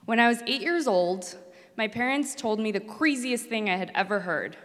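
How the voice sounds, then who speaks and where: A woman's voice: a little high, lively intonation, moderate pace, a bit bright. A woman from Mexico, a native Spanish speaker, earnestly telling a personal story as a former student. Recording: long hall reverb.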